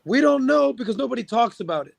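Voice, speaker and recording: A man's voice: extremely high pitch, expressive, fast, gravelly, no room sound. A man in a casual podcast chat, giving firm opinions backed by figures, with lots of fillers and restarts. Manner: opinionated, argues with numbers, many fillers. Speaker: podcast guest.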